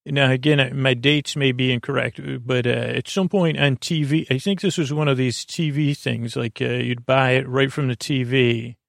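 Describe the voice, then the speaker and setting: A low-voiced American man with a creaky, gravelly edge, recorded close and dry. He hosts a bedtime podcast, wandering haltingly from one tangent to the next as he eases listeners toward sleep. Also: steady tone, no echo. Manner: meandering, halting, goes off on tangents. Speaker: podcast host. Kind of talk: rambling bedtime monologue to help listeners fall asleep.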